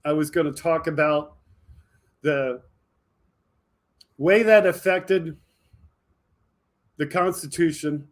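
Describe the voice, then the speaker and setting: Higher-pitched man in fired-up political monologue. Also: steady tone, slow pace, long pauses. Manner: emphatic, fired up, addressing viewers directly. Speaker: video podcast host. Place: indoor home studio with green screen.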